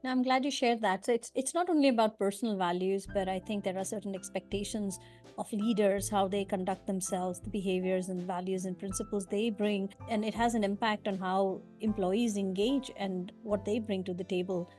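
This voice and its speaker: A woman hosts a podcast interview over a video call, voice of middling pitch. She asks follow-up questions and responds with encouragement. Faint music sits low underneath. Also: fairly even intonation, normal speed, a little mellow. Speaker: podcast host. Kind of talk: interview hosting.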